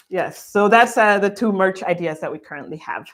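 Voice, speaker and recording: A woman's voice: mid-range voice, normal speed. A woman from Guatemala co-hosting a livestream, reading out viewer questions in a bubbly, laugh-filled way. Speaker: co-host.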